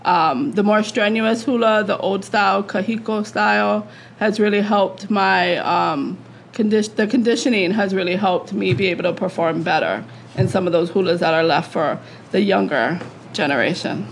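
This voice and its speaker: Woman from hawaii, 40s, kinda gravelly voice, laid-back chat, faint noise behind. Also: mid-range voice, moderate pace, poor audio. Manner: relaxed, answers briefly with fillers. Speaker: guest host, coach. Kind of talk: casual podcast chat.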